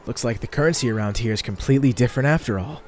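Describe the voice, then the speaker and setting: A man's voice: mid-range voice, racing, darkish tone, lightly acted. A man playing an ordinary seventeen-year-old shut-in boy in an anime-style fan dub, reasoning casually to himself. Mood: dawning realisation. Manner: casual, self-talking, reflective.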